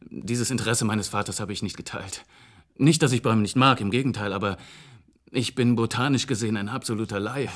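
An actor in a German radio play playing a polite, formal cellist who speaks with hesitant courtesy. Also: male voice, on the low side, fairly quick, natural voice. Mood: hesitant, courteous. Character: polite, hesitant cellist, grieving son, unworldly with technology.

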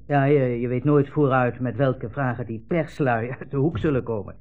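An actor playing a breezy, patronising army captain at a refugee centre, in 1960s radio drama style. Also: male voice, mid-range voice, moderate pace, lightly acted. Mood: glib, breezy. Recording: muffled 1960s radio broadcast recording.

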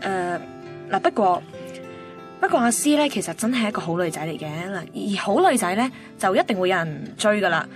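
An actress playing a concerned, easily flustered young woman friend in a Hong Kong Cantonese radio drama, colloquial and animated. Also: medium pitch, fairly quick, close to an everyday voice. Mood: flustered, hinting. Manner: animated, colloquial, mixes in English words. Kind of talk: Cantonese radio drama dialogue and inner monologue. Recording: music bed under the voice.

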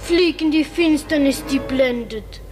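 A woman's voice: high voice, measured pace. A woman playing a frightened, searching creature of flight in a radio play, speaking poetically and plaintively. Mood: fearful, plaintive.

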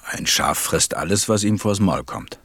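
A man playing a stranded pilot who narrates a radio play in a measured, reflective way. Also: deep voice, animated, a bit bright, breathy edge, dry recording, heavily acted. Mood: preoccupied, irritated.

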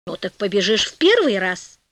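A woman's voice: medium pitch, sing-song, normal speed, no echo, pitched below the performer's own voice. A woman voicing a cocky, penniless nobleman in a storybook tale, with a theatrical, mock-courteous and taunting manner. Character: cocky, penniless nobleman, polite and cunning trickster.

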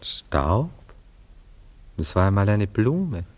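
Deep-voiced, wistful, vain ladies' man. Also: very animated, slow, a little mellow, heavily acted. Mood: wry, musing. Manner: musing, theatrical, lingering sentimentally over phrases. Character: melancholy, vain Viennese ladies' man, wistfully self-dramatizing. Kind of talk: German radio play acting.

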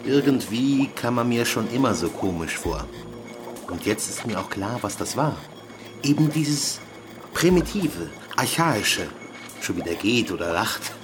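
An actor playing a sneering, suspicious young student in a radio drama, mocking and scornful. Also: male voice, mid-range voice, animated, unhurried, noisy background, close to an everyday voice. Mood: disdainful. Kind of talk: German radio drama acting.

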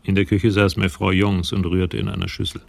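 A man's voice: deep voice, level tone, a little fast, gravelly, no room sound. A man narrating a radio crime drama in scripted prose.

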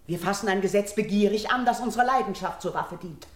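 A woman's voice: mid-range voice, quick pace, close to an everyday voice. An actress playing a proud, principled priestess of royal birth, solemnly declaiming verse in a classical radio drama, with echo around her. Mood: firm defiance. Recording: roomy, echoing studio sound.

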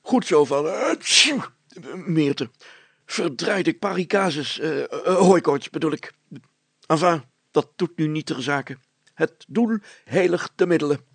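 A man's voice: fairly high pitch, lively intonation, measured pace, husky, no room sound, pitched above the performer's own voice, full character voice. A man voicing a pompous, blustering older village mayor who repeats himself and exclaims in a children's story. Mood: flustered, pompous.

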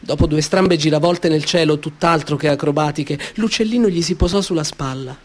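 A male storyteller reads a children's tale in a slightly high voice. His delivery is gentle and measured, with expressive turns on key phrases. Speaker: author reading his story. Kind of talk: storybook narration.

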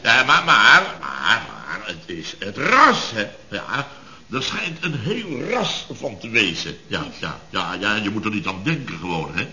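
A man's voice: on the low side, sing-song, a little slow, sharp tone, full character voice. An actor playing a blustering, indignant boss of a building firm in a radio comedy, stammering and repeating himself as he rambles. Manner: stammering repetitions, sputtering, rhetorical tags. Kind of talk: 1970s radio comedy acting. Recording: dull vintage radio recording.

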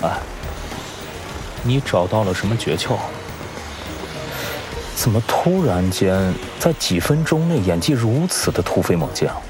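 Man playing a cool older-brother movie star, lowish voice, dry scolding, music under it. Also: very animated, a little slow, pauses often. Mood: curious. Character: composed, sardonic senior male film star, quietly protective mentor. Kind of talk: audio drama acting.

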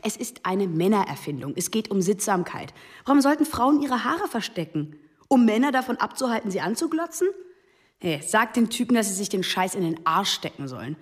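A woman's voice: mid-range voice, very animated, a little fast, lightly acted. An actress playing an outspoken, provocative young female student arguing in a classroom radio drama. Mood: heated. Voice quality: sharp, mocking, confrontational.

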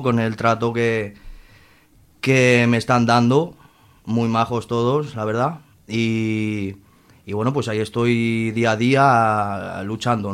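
A man's voice: medium pitch, clean tone. A man in his late twenties with a Madrid accent, answering informally as an interview guest, hesitant, repeating words and often adding tag questions. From Madrid, Spain.

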